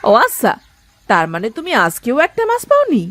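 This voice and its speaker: A scolding village wife, played by a woman, talks in a lively, fairly high voice with a biting edge. She nags and mocks without letup. The recording is close and dry. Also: a little fast, no room sound, heavily acted. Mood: questioning. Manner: scornful, nagging. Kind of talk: Bengali cartoon voice acting.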